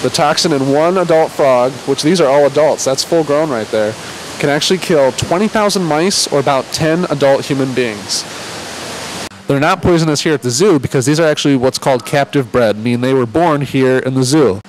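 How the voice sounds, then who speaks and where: A man gives an informal educational talk in the role of a zoo keeper. He explains things in a casual, conversational way. There is clear background noise, like a ventilation hum, around his voice. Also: medium pitch, normal speed, poor audio. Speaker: zoo amphibian keeper presenting exhibits. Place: zoo exhibit area.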